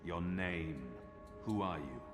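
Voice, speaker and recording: An actor voicing a calm, guarded former male apostle who speaks tersely. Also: sits low, slow pace. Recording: roomy game audio with music.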